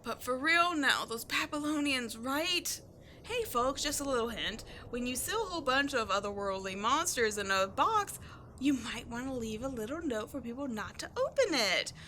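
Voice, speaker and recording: High, bright-voiced brash tomboy adventurer played by a woman. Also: normal speed, slight background noise. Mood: relieved, cocky. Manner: animated, slangy, teasing, blustering. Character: brash tomboy adventurer archaeologist, young woman, flustered softie. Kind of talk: roleplay audio acting.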